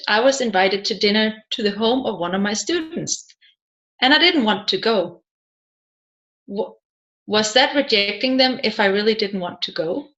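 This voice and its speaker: Woman reading an audiobook, higher-pitched voice. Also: a bit bright. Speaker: audiobook reader. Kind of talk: scripted narration of question-and-answer class transcripts.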